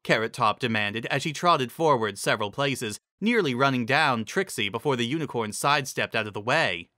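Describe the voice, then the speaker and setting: A narrator reads a fantasy story in a dramatic, scripted style. The voice is mid-pitched, bright and animated, recorded dry and close. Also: normal speed, dry recording. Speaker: narrator reading fan fiction.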